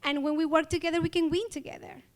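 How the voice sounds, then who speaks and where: A woman with an Ecuadorian Spanish accent and a high voice gives an award acceptance speech. She sounds earnest and grateful, and she pauses and uses fillers as she speaks. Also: steady tone. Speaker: award honoree.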